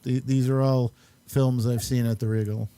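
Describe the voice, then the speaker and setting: Male host for casual film chat, soft mellow tone, very dry sound, halting and meandering delivery. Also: medium pitch, little pitch movement, smooth, dry recording. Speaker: host and amateur filmmaker. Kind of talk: casual chat and movie reviews.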